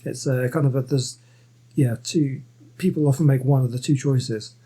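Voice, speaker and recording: A man's voice: medium pitch, steady tone, normal speed, dark tone, husky. A man commenting casually over a game, musing aloud and hesitating, often restarting his sentences. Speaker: gameplay host. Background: game music.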